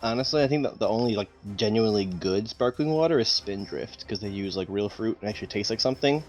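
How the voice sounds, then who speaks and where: A man's voice: on the low side, expressive, fast talker, soft background music. A man talking casually and with firm opinions as a tabletop game player.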